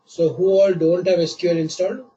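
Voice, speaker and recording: A man teaching a live online class while he has a cold, patient and repeating each step slowly. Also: high voice, flat delivery, dark tone, highs cut off. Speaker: online course instructor.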